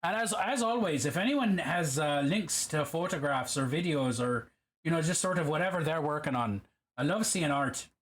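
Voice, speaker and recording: A man with a high, bouncy voice chats on a live stream while he paints. He reads messages aloud in a loose, joking way. Now and then he drifts playfully into a mock Irish accent. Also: lively intonation, moderate pace, a bit bright.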